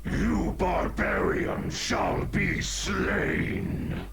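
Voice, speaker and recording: A man voicing a menacing, zealous ancient golem warrior in a video game, speaking slowly. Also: high voice, wildly swooping pitch, gravelly, noisy background, full character voice. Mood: zealous menace.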